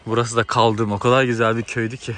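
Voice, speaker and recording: A man's voice: medium pitch, level tone, quick pace, fairly bright tone. A young man chatting casually to camera as an enthusiastic, slangy travel vlogger, recorded on a handheld phone. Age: young adult. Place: outdoors in villages and inside trains. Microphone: phone at arm's length.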